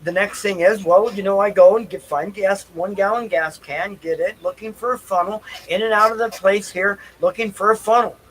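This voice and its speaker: A man telling a casual, rambling story on a livestream in a halting, repetitive, folksy way. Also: sits high, a bit bright, a little hiss or hum, rough recording. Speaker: livestream host. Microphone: stream microphone.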